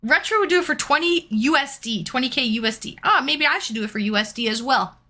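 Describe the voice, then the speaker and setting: A woman's voice: high voice, lively intonation, quick pace, pushed and bright. A young woman from Canada chatting casually with viewers while painting and reading out their comments. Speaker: streamer.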